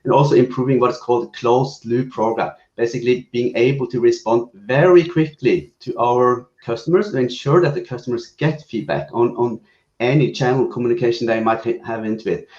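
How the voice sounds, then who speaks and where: A man answering a panel question in a relaxed, hesitant way with many fillers and restarts. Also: mid-range voice, fairly quick. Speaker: business guest speaker. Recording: roomy muffled video call audio.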